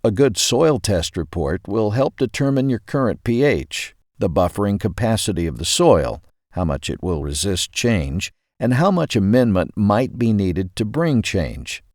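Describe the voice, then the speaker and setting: Low-voiced man narrating, lively intonation. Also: a little slow, no echo, clean studio sound. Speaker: narrator of a magazine audio article. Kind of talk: scripted narration.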